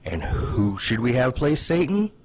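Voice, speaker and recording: A man's voice: mid-range voice, very animated, measured pace, a little mellow. A man giving casual comedic commentary in a conversational way, with hesitant asides and plenty of "like". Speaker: online video host.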